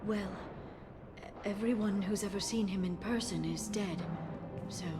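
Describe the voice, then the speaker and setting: An actress playing an earnest, soft-spoken, determined young alien woman in a video game, with halting, emotional delivery. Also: mid-range voice, lightly acted. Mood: nervous, earnest. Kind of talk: video game cutscene acting. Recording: loud music behind the voice.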